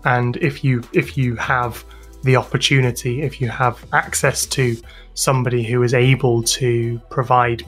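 British man, mid-pitched voice, casual interview guest, hesitant, informal, music behind. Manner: hesitant, thinking aloud, informal. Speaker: interview guest, podcast sound designer and composer.

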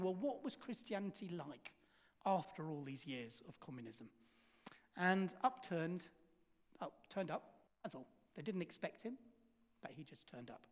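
A man preaching a sermon, telling a story and asking the congregation rhetorical questions, his volume swinging from loud to hushed. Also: high voice. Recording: reverberant hall.

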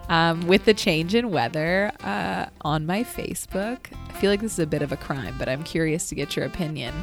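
A woman's voice: sits low, normal speed, music in the background. A young Canadian woman hosting a radio show in casual, chatty, enthusiastic co-host banter.

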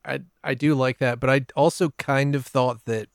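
A man's voice: medium pitch, steady tone, normal speed, vocal fry, dry recording, clean studio sound. A man speaking as a podcast guest in a casual, conversational style. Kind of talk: casual chat.

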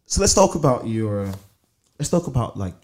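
A man's voice: medium pitch, big pitch swings, moderate pace. A man conducting a conversational interview, reflective, building questions in repeated phrases.

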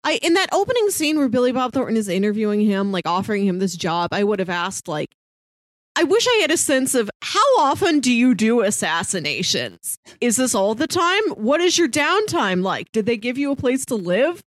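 A woman's voice: a little high, sing-song, moderate pace, dry recording, clean studio sound. A woman co-hosting a film review podcast in casual conversation, with a wry, opinionated way of talking. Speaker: podcast co-host reviewing films. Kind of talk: casual movie review chat.

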